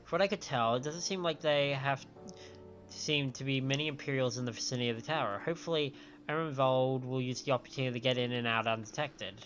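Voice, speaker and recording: A man's voice: medium pitch, fast, fairly bright tone, highs cut off. A man on a live game stream, reading dialogue aloud with stumbles over the words and reacting to it. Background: game music.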